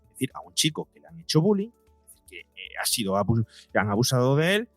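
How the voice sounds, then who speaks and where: A man's voice: mid-range voice, sing-song, quick pace, no echo. Spanish guy from explaining stuff like a psychologist on a podcast, dry sound, faint music. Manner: didactic, acts out parents' questions, rhetorical questions. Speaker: psychologist and podcaster, interview guest. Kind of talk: explanatory conversational interview talk.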